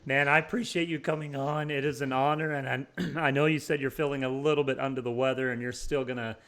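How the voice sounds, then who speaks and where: A man's voice: medium pitch, steady tone, fast talker, fairly bright tone. A man hosting an interview show in a casual, upbeat way, laughing often and padding his talk with fillers. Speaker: podcast host.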